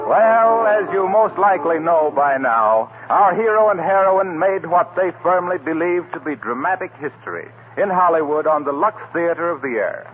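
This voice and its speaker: A man's voice: on the high side, animated, moderate pace, hardly any pauses. An American man working as a 1940s radio announcer, reading scripted narration in a florid, theatrical way. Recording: scratchy, tinny 1940s radio broadcast.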